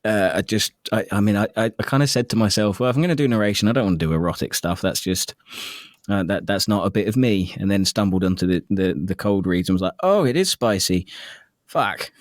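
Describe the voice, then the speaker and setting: A man's voice: lowish voice, lively intonation, brisk, no room sound, studio quality. A man who narrates audiobooks, chatting casually as an interview guest in a self-deprecating, jokey and sometimes sweary way.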